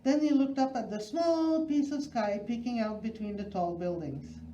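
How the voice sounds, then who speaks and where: A woman's voice: fairly high pitch, normal speed, warm tone, a little hiss or hum. A woman reads a children's picture book aloud as a storyteller, expressively, phrase by phrase with emphasis. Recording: roomy, slightly dull recording.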